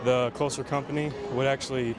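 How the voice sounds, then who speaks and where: A man's voice: medium pitch, flat delivery, brisk, crowd noise. A man who is a fire science student, talking casually and plainly in an interview outdoors. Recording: outdoor background noise.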